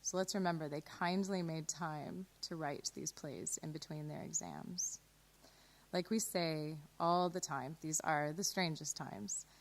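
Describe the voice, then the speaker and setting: A woman, hosting and giving an informal closing address to an online audience. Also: low voice. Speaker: host.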